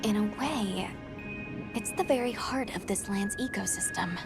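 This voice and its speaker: A woman's voice: medium pitch, unhurried, loud music behind the voice, lightly acted. A woman playing an earnest, thoughtful young researcher in a video game cutscene.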